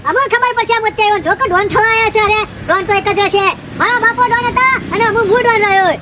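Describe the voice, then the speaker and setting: A man playing a swaggering, bullying village don in a cartoon comedy, with a rural North Gujarati accent, bossy and boastful, his voice pitched up and sped up. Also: fairly even intonation, fast talker, very noisy.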